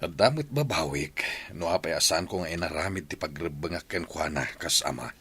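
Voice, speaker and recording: An actor with a Filipino accent playing an excitable, middle-aged village father in a radio drama. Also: very deep voice, fairly quick, breathy edge, exaggerated voice. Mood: regretful. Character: excitable, remorseful village father eager for grandchildren.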